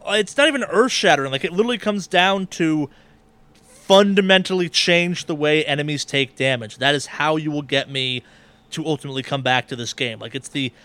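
A man with a clean, smooth and fairly high voice, recorded close and dry. He talks casually as a podcast host, with a wry edge and the odd swear. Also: brisk, a bit bright, no echo. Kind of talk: casual gaming podcast chat.

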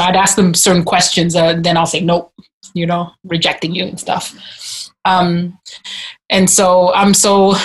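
A woman's voice: fairly low pitch, poor audio. A woman in her forties reflecting on her life in a group video-call discussion, talking hesitantly with many restarts. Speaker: discussion participant.

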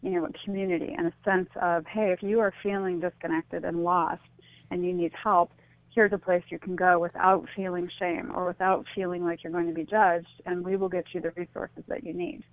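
A middle-aged American woman speaking as a radio interview guest, reflective and halting as she restarts her thoughts. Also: on the low side, flat delivery, dry recording. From United States. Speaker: interview guest, suicide-loss advocate. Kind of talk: earnest radio interview conversation. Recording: muffled phone-like radio line.

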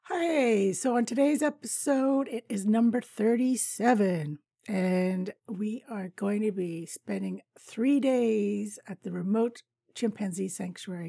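A woman in her fifties with a raspy voice, hosting a podcast and chatting casually through a travel story, laughing often. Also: medium pitch, mellow, dry recording. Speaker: podcast host.

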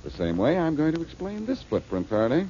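An actor playing a confident detective who explains how a crime was done, in a 1940s radio drama. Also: male voice, a little high, wildly swooping pitch, fairly quick, dark tone, a little hiss or hum. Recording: dull 1940s radio recording.

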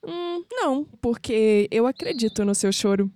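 A woman's voice: a little high, animated, unhurried, warm tone, no echo, clean studio sound. An actress playing a young, skeptical and searching Brazilian woman in an audio drama. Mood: curious.

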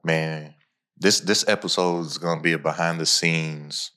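A man hosting a podcast in an energetic, informal, motivational way. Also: measured pace. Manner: energetic, informal, pitches his own program. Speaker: podcast host. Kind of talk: casual motivational podcast talk.

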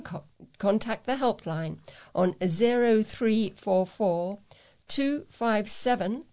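A woman's voice: medium pitch, darkish tone. A woman reading local news as a volunteer newsreader, in a careful manner.

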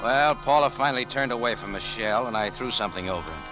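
An actor playing a cynical, world-weary bar owner in a 1940s radio drama, terse, sardonic and slangy. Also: male voice, medium pitch, expressive, quick pace, natural voice, low-quality recording. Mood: sardonic, tense. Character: hard-boiled cynical bar owner, weary noir adventurer. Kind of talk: 1940s radio detective acting and narration. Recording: old radio recording with music.

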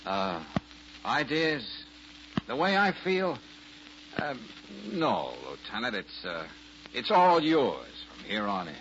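An actor playing a wry, joking detective in an old radio drama, breezy with stammering fillers. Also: male voice, medium pitch, sing-song, slow, a bit bright, slight background noise. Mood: coy. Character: wry, joking male detective, quick-witted. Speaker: amateur sleuth. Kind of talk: 1940s radio acting. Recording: dull lo-fi old radio recording.

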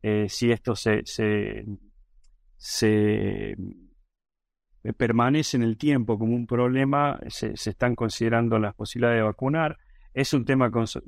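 A man's voice: medium pitch, gravelly, dry recording. Hesitant Argentine man, dry close sound. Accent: Argentine Spanish. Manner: thoughtful, many hesitations and restarts. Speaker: virology professor, guest. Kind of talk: interview answers.

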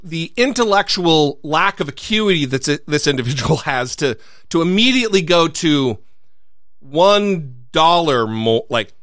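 A man with a lively, up-and-down voice rants with sarcastic exasperation. His tone is bright and forward. He swears freely into a close, dry studio mic. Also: a little high, measured pace, dry recording. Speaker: podcast co-host. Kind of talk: casual podcast chat.

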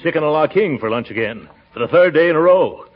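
An actor playing a pompous, easily flustered middle-aged uncle in a 1940s radio comedy, with stammering, chuckling bluster. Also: male voice, on the high side, expressive, fast talker, soft background music, natural voice. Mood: grumbling. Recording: muffled old radio broadcast, noisy, studio audience.

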